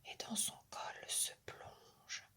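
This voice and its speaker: A woman whispers a poem for ASMR in a crisp, very bright, breath-only voice. She moves extremely slowly, setting down each short phrase softly and with care. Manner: soft, deliberate whispering in short measured phrases. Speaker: ASMR poetry reader. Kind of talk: whispered ASMR reading of classic verse.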